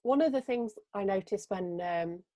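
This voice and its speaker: A woman's voice: mid-range voice, expressive, normal speed, warm tone, dry recording. A woman with an English Midlands accent, hosting a podcast and chatting through anecdotes. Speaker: podcast host. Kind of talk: casual podcast conversation.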